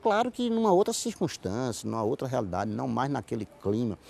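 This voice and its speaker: A man's voice: medium pitch, very animated, brisk, vocal fry, darkish tone, slight background noise. A middle-aged man with a Northeastern Brazilian accent from Paraíba, talking at length and explaining in a TV interview. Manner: talkative, explanatory, repeats and rephrases. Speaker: interview guest, writer and playwright. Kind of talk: conversational TV interview answers.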